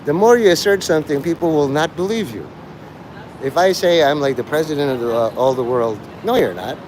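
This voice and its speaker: Male streamer, slightly high voice, loose and digressive delivery, blunt and sweary, noticeable street noise. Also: lively intonation, fairly quick, darkish tone, poor audio. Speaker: live streamer. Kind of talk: rambling casual monologue to listeners. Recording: clear outdoor traffic and vehicle noise.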